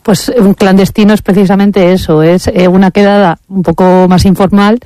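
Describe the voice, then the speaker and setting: Mellow woman. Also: mid-range voice, fairly even intonation, moderate pace, no echo. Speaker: interview guest, member of a swing dance association. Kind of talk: casual conversational interview answers.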